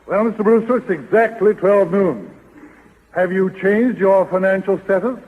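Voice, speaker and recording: An actor playing a stiff, formal businesslike lawyer in a 1930s radio drama. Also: male voice, high-pitched, moderate pace, slightly rough, phone-quality sound. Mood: formal, pleased. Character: formal, businesslike male lawyer Mister Grant presenting an inheritance check. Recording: hollow, reverberant 1930s radio broadcast.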